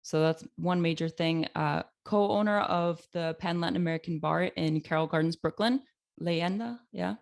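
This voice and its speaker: A woman's voice: lowish voice, normal speed, gravelly. A woman hosting a casual interview podcast, chatty and upbeat, laughing often. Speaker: podcast host.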